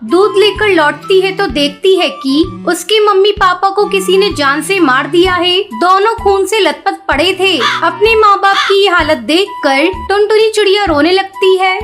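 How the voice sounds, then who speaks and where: A woman's voice: very high voice, unhurried, few pauses. A woman reading scripted narration for a children's story. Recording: music behind the voice.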